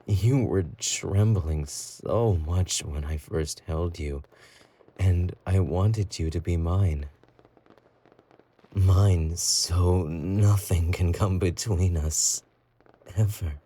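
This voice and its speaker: A man playing an obsessive, possessive boyfriend in a romantic roleplay audio drama, doting yet menacing, with a breathy, intimate, cooing delivery. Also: sits low, very slow, warm tone, heavily acted. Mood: teasing, possessive.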